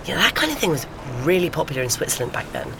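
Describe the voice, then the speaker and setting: An eager, theorizing woman sleuth in an audio drama. She talks in a natural, conversational way, animated and insistent. Clear ambient noise runs behind her voice. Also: low-pitched, very fast.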